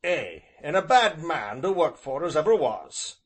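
A man's voice: on the high side, sing-song, measured pace, pitched above the performer's own voice. A man voicing a stubborn, surly blacksmith's striker who growls in a rural southern English dialect and drops his h's. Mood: sullen, defiant.

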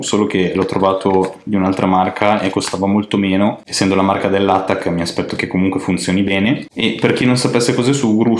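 A man giving a casual, chatty hands-on tutorial as a vlog host at home. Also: fairly low pitch. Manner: colloquial, chatty, explanatory. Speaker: motovlogger and channel host. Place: indoors, living room at home. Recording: echoey home room.

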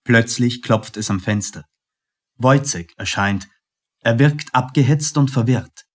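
A man's voice: medium pitch, unhurried. A man reading scripted narration as a narrator in a radio drama.

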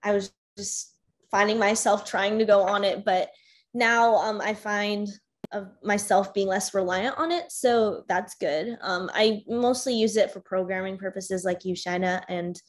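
A young American woman hosting a casual video-call discussion, talking with many fillers and soft laughs. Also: medium pitch, measured pace. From United States. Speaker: discussion host. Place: home room with bookshelves. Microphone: webcam on a video call.

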